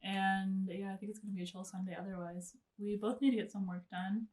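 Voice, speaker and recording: A woman's voice: medium pitch, steady tone, fairly quick, a little mellow. A young woman talking casually to camera in a weekend vlog, chatty and laughing often between sentences. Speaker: vlogger.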